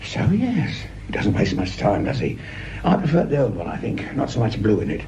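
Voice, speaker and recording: A man playing a gruff, world-weary older police sergeant with a British accent, grumbling and sardonic in a radio crime drama. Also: fairly low pitch, huge pitch swings, normal speed. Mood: wry, hushed. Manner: grumbling, sardonic, hesitant muttering. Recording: noisy radio drama track with ambience.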